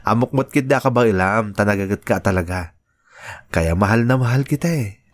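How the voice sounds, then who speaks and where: A man playing a young provincial husband, fond but quick-tempered, in a radio drama with an Ilocano accent. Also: fairly low pitch, lively intonation, no echo. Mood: playful, loving.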